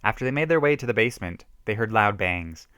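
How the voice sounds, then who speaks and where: Clear, low-voiced man reading theatrically. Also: expressive, fast, a bit bright, dry recording. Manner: emphatic, theatrical reading with occasional stumbles. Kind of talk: dramatic reading of a self-written horror story.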